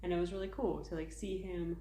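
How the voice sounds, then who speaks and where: A woman's voice: lowish voice, flat delivery, quick pace, dark tone, a little hiss or hum. A woman in her thirties co-hosting a casual parenting podcast, chatty and full of filler words. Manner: chatty, many "like" fillers, laughs often. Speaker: podcast co-host, mother. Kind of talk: casual chat. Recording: echoey room.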